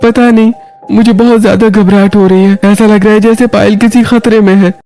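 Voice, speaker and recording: A man's voice: high-pitched, flat delivery, a little fast, warm tone, faint music behind, pitched above the performer's own voice. A man voicing an anxious, protective young husband in a Hindi moral story, speaking urgently and emphatically.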